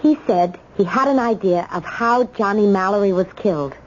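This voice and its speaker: Actress as a firm, loyal American wife, muffled. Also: female voice, mid-range voice, normal speed, natural voice. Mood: insistent. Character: earnest, insistent, loyal wife of accused man. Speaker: radio drama actor. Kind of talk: American radio mystery drama acting. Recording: dull, noisy old radio broadcast.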